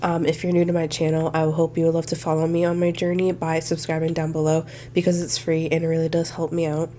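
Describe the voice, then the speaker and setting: A woman's voice: low-pitched, completely flat delivery, fast, mellow, slight background noise. A young woman hosting a budgeting vlog, sounding a little nasal from congestion, talking through her numbers in a friendly, think-aloud way. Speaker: budgeting channel host. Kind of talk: casual budgeting walkthrough to camera.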